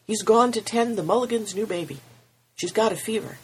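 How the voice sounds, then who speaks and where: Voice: medium pitch, fairly quick, husky, voice pushed up, rough recording. Narrator doing a breathless old farmer. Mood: urgent, kindly. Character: older male farmer, kindly, out of breath.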